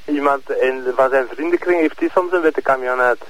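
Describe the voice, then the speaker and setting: An actor plays a Flemish police investigator in a radio drama. His voice is mid-pitched and matter-of-fact. He works through probing questions haltingly and methodically. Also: lightly acted, low-quality recording.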